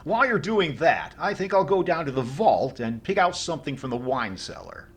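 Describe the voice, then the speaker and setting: A man playing a fussy, stingy, bemused comedian in an old-time radio comedy, with deadpan pauses. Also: a little high. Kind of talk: 1940s radio comedy acting. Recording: noisy, laughing audience behind.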